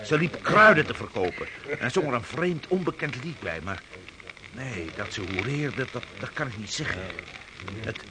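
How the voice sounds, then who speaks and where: An actor playing an excitable sailor who tells a yarn in a Dutch radio play, stammering and repeating his words. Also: medium pitch, normal speed, background noise, close to an everyday voice. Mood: awed, excited. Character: excitable sailor spinning a yarn about a bewitching woman.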